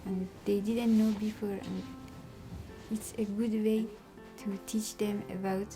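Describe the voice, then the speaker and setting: A woman's voice: mid-range voice, level tone, slow pace, music in the background, rough recording. A young woman with an Afghan Persian accent telling her own story in an interview. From Iran, of Afghan family. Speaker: refugee student. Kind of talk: personal interview testimony.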